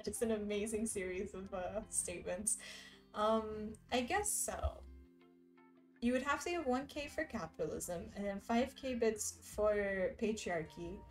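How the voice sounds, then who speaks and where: A woman's voice: mid-range voice, measured pace, soft background music. Giggly young woman streamer chatting. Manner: reads chat messages aloud, chatty and giggly. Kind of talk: casual live-stream chat while embroidering. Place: indoor room.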